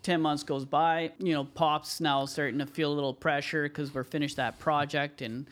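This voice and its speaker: A man's voice: on the high side, flat delivery, moderate pace, a bit bright. A man who works as a tile contractor, telling stories as a podcast guest in a rambling way, full of fillers and "you know". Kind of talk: casual interview storytelling.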